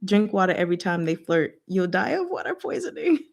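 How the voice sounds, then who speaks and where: Female book-discussion host, medium pitch, informal and slangy, playful storytelling recap. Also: sing-song, a little fast, gravelly. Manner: playful, slangy, acts out characters' lines in casual speech. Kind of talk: casual chatty recap of a novel's chapters.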